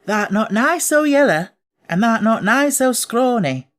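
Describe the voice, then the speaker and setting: A young Yorkshire housemaid from a storybook as a male narrator puts her on. She talks in homely, plain dialect with a kind bluntness. The voice is lifted higher. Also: expressive, measured pace, fairly bright tone, exaggerated voice. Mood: frank, fond.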